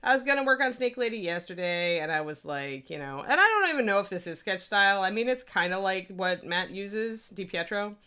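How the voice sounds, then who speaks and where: A woman's voice: mid-range voice, lively intonation, fairly quick, hardly any pauses, sharp tone, clear, resonant tone. A woman with a Midwestern accent, chatting casually and rambling as she teaches miniature painting on a livestream.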